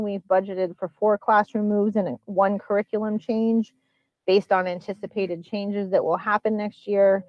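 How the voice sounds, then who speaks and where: A woman presenting a school budget at a public hearing, explaining line items and figures in a measured, explanatory way. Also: medium pitch, dry recording, highs cut off. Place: public meeting.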